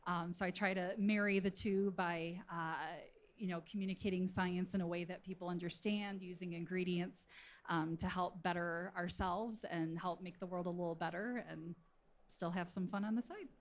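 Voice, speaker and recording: A woman's voice: fairly low pitch, fairly even intonation, moderate pace, a little hiss or hum. A woman speaking as an expert panel guest, explaining her work in a casual, conversational way. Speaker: panel guest, food scientist.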